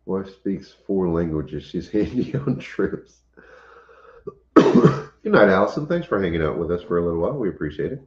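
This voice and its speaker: Cajun homestead host: very low, easygoing voice in a roomy, muffled recording. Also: male voice, sing-song, moderate pace, a little mellow. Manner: easygoing, chuckles often, occasional coughs. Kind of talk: casual livestream chat. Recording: echoey room, dull lo-fi sound.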